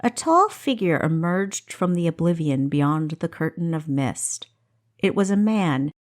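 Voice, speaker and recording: Woman narrating fiction, slow and expressive. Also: deep voice. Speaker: audiobook narrator. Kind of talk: scripted fantasy story narration.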